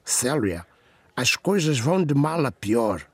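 Radio drama: serious, insistent male inspector, acted by a man, rough husky voice, pressing delivery, dry recording. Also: mid-range voice, sing-song, slow, no room sound, voice pushed up, heavily acted. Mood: urgent.

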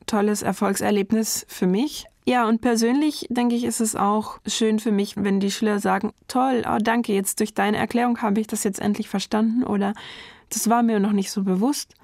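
A woman in her thirties, a German teacher from Germany, speaks in a thoughtful, friendly way about her work. Her voice sits at an ordinary middle pitch. It was recorded close and dry, with no room sound around it. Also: fairly even intonation, normal speed, vocal fry, a little mellow, dry recording. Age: 30s. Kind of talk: personal portrait interview.

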